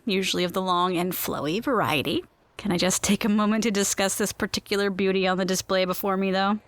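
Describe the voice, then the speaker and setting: A woman's voice: medium pitch, fairly bright tone, no room sound. Woman host, playful and gushy, kinda theatrical, dry close mic. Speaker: podcast host. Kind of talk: chatty in-world hosting and reading.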